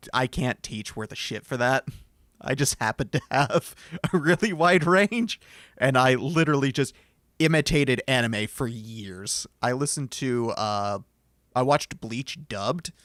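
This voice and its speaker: A man's voice: mid-range voice, a bit bright, no room sound, clean studio sound. A man streaming, chatting casually while he plays and reads the game's lines aloud, laughing and swearing now and then. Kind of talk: casual chat over a game.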